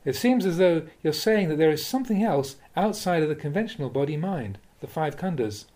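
A man, a Buddhist monk, reading spiritual teachings aloud in a measured, deliberate, instructive way, like an audiobook of a talk. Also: a little high, lively intonation, darkish tone. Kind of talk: audiobook-style reading of a dharma talk with questions and answers.

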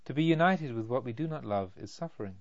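A man acts out a wise spiritual master from a storybook. He is calm and detached, offering measured sayings in a serene manner. His pitch sits in the middle, and the sound is a bit dull. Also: dry recording, lightly acted.

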